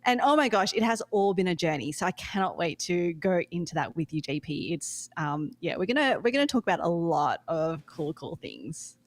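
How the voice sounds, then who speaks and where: A woman with an Australian accent, a company founder speaking as a guest, chatty and bubbly and quick to laugh. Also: fairly low pitch, very animated. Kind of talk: casual interview talk.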